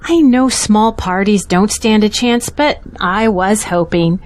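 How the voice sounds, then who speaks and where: A gentle churchgoing woman, played by an actress, speaking slowly at a medium pitch. She sounds wistful yet hopeful, in audio drama style. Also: a little hiss or hum, natural voice. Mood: disappointed, wistful.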